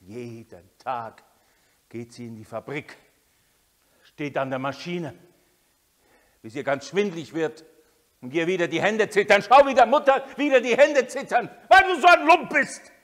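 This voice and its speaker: An actor playing a harsh older man who berates a teenage boy with contempt, his scolding rising to shouts, in a radio drama. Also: high-pitched, lively intonation, unhurried, pauses often, long reverb tail. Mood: bitter, shouting. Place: studio with concrete walls. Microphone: binaural dummy head.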